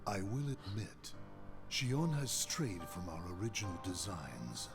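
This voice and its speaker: Slow, deep, calculating male leader. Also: big pitch swings. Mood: cool, calculating. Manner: formal, measured, commanding. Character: cold, calculating male leader of a secret organization. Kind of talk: scripted video game cutscene acting. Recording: game cutscene audio with music.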